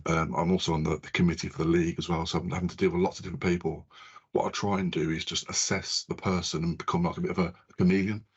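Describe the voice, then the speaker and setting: A man with a deep, rough-edged voice, hosting a casual podcast chat. He rambles informally and circles back over his phrases, on a somewhat muffled recording. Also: flat delivery, quick pace. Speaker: podcast host. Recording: dull, lo-fi sound.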